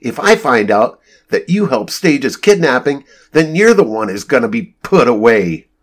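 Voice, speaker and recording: A man's voice: mid-range voice, sing-song, normal speed. Man acting a firm, combative businesswoman. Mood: defiant, growling. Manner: firm, clipped, growling. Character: stubborn, combative adult daughter running family company.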